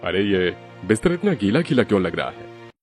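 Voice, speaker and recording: A man's voice: on the low side, big pitch swings, normal speed, soft background music. Swooping, easygoing cartoon father-in-law, acted by a man. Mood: stubborn. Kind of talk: Hindi cartoon story voice acting.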